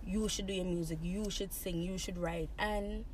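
A woman with a Jamaican accent, a musician guest in a casual interview, frank and relaxed, laughing often. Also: on the low side, moderate pace, slight background noise. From born in Boston, raised in Jamaica.

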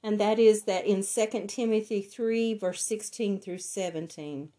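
Slow, raspy-voiced woman teaching Bible study. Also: medium pitch, warm tone. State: hoarse from laryngitis. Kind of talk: Bible lesson, reading notes and scripture.

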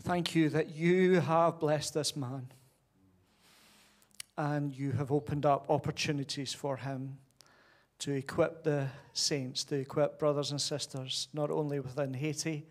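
A man introducing a guest speaker and leading a church prayer in an earnest, slowing voice. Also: medium pitch, flat delivery. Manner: earnest praying, growing slower and softer. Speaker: church leader.

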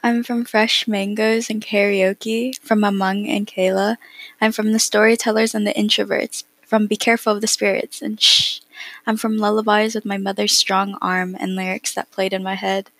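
A teenage girl reading her own poem aloud in a flat, even way. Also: mid-range voice, normal speed, dry recording. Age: teens. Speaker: student.

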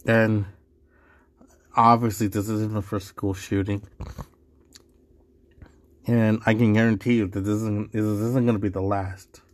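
A man from Mexico hosting a solo podcast, talking casually in a rambling way with many restarts and asides. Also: on the low side. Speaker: podcast host.

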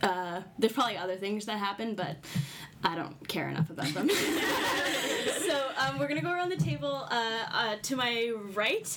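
A woman's voice: fairly high pitch, normal speed, heavy background noise. A woman hosting a casual round-table podcast, rambling and laughing, with lots of fillers. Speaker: podcast host. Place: indoors around a table with friends.

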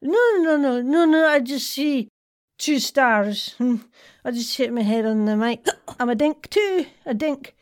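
A woman's voice: sits high, expressive, measured pace. A woman voicing a tipsy, rambling woman who heckles a podcast advert in a blunt, muddled, repetitive way.

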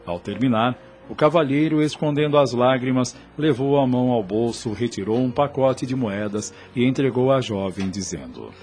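A man narrating a radio drama in a measured, literary storytelling style. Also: mid-range voice, animated, darkish tone, a little hiss or hum.

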